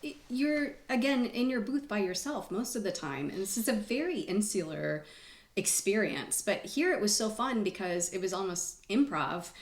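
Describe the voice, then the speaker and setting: Lively, swooping woman in an echoey room. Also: mid-range voice, normal speed. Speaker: voice actor. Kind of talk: casual round-table chat. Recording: echoey room with clear background noise.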